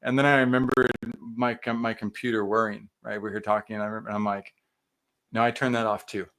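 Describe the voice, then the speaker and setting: Male podcast host, low and gravelly, earnest and animated. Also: fast, low-quality recording. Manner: earnest, animated, with many fillers and quoted self-talk. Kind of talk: casual reflective chat.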